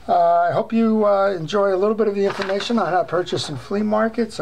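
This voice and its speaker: An older man hosting a fishing reel repair channel, talking casually while showing reels on his workbench, with many "uh" pauses. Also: fairly high pitch. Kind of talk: casual show-and-tell. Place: workbench at home. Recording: clear background noise.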